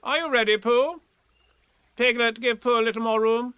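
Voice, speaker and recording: A man voicing Rabbit, a fussy, bossy rabbit who gives brisk orders in a storybook reading. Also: very high voice, pushed and bright, clear, resonant tone, no room sound, voice pushed up. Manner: brisk, commanding.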